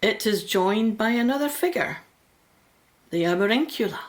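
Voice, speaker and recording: Female narrator, medium pitch, scripted storytelling for a radio play. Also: animated, moderate pace.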